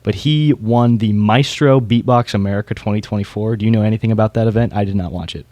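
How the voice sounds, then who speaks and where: A man's voice: lowish voice, fast, mellow, dry recording. A man hosting a casual podcast, talking informally and knowledgeably about competitors in his field. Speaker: podcast host.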